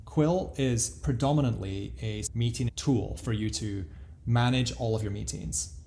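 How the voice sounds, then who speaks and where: A man giving a casual, explanatory product walkthrough as a software demo presenter. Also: medium pitch, unhurried, creaky.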